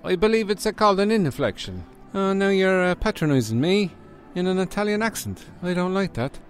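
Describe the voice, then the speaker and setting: A man playing a chirpy, eager, halting fellow with a sing-song manner in a comedy radio serial. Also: on the high side, huge pitch swings, fairly bright tone, faint music behind, pitched above the performer's own voice, heavily acted. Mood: chirpy, flustered. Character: chirpy, eager young man picking up Italian inflection.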